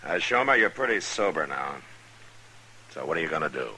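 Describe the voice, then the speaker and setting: Western marshal, extremely deep, forceful, plain-spoken, steady, reassuring, old hissy radio drama. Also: male voice, fairly quick, heavily acted, low-quality recording.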